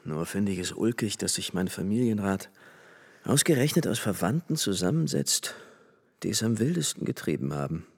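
An actor playing a flippant, worldly young French aristocrat and army officer in a radio play, chatting with easy familiarity. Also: male voice, sits low, sing-song, moderate pace, creaky, breathy edge, full character voice. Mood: amused, mocking. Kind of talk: German radio play dialogue. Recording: long hall reverb.

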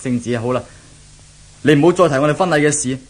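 Man acting embittered reluctant fiancé, husky, higher-pitched, brusque, melodramatic, Hong Kong Cantonese accent, vintage radio play. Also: fast talker, lightly acted. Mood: disgusted, angry. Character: bitter, hard-drinking young groom forced into marriage.

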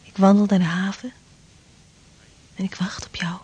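A woman's voice: medium pitch, flat delivery, normal speed, darkish tone, husky. An actress playing a young merchant's daughter longing for her fiancé in a radio play. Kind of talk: radio drama acting.

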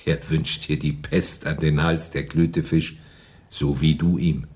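An actor in a German radio drama playing a grave, quietly disapproving Nazi insider. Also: male voice, rumbling low, little pitch movement, measured pace. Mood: grave, sardonic.

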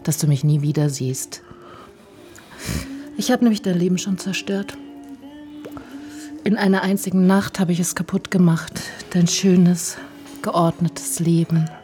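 An actress playing a proud, commanding film star in a German radio drama, cool and provocative, often dropping to a near whisper. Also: female voice, on the low side, a little slow, pauses often, warm tone, soft background music, lightly acted. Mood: taunting, intimate.

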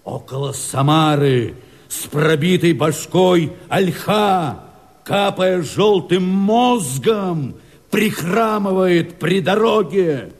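Foreboding Cossack rebel, very high, swooping pitch, impassioned verse, radio drama. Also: male voice, expressive, slow, heavily acted. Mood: ominous, foreboding.

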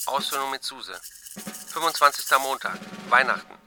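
An actor playing a terse diarist who reads entries in clipped, telegraphic bursts in a radio play. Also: male voice, medium pitch, expressive, normal speed, sharp tone. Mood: brisk, clipped. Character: terse male diarist noting weather and chores. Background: percussive music.

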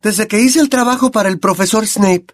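A man voicing a shrill panicked teenage witch. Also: fairly quick, no echo, clean studio sound, natural voice. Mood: tense. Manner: shrill accusing cries. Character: frantic clever teenage witch girl.

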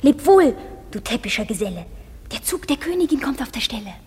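A woman's voice: on the high side, big pitch swings, fairly quick, slightly rough, heavily acted. An actress playing a nimble elf servant of the fairy queen, declaiming lilting verse in a radio play. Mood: airy, busy. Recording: music loud behind the voice.

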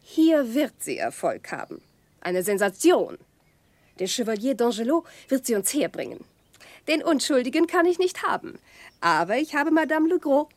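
A frivolous young countess in a radio drama. Her highish, breathy voice swoops up and down in affected society chatter, gushing and hungry for sensation, in a very dry recording. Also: very animated, moderate pace, no room sound. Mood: excited, gushing. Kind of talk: German radio play acting.

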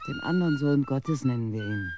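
A man's voice: mid-range voice, wildly swooping pitch, fairly quick. An actor playing a devoted, gossipy local man in a radio crime drama, speaking emphatically. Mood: admiring. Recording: music under the voice.

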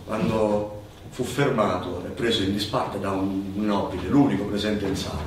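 A man's voice: fairly low pitch, steady tone, normal speed, lightly acted. A man with a Sicilian accent acting and telling a folk tale on stage in an emphatic, declamatory way. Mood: storytelling. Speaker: stage actor and storyteller. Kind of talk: theatrical storytelling in Sicilian-flavoured Italian. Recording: very echoey hall, distant mic, faint noise.

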